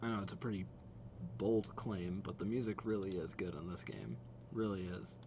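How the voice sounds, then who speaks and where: A man's voice: sits low, moderate pace, creaky, background noise. A young man giving casual, rambling reaction commentary as the host of a video.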